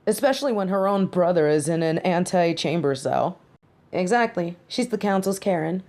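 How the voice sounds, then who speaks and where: A woman's voice: low voice, dark tone. A woman acting a caring, brash and loyal young superheroine in a scripted audio roleplay, with a conversational, sassy delivery. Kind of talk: scripted one-sided audio roleplay drama.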